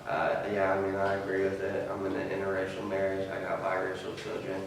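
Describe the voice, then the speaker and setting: A man explaining his views in a casual group podcast, thoughtful and measured. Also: deep voice, completely flat delivery, vocal fry. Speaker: podcast co-host. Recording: echoey room, distant mic, some noise.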